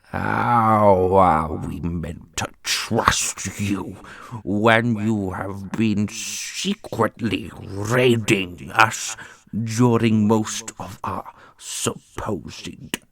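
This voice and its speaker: A man playing a slow, haughty, gravelly grand master. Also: fairly low pitch, fairly even intonation, a bit bright, full character voice. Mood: accusing. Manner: slow, imperious, deliberate. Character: stern, haughty grand master of a holy order. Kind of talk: fantasy ASMR audio roleplay acting.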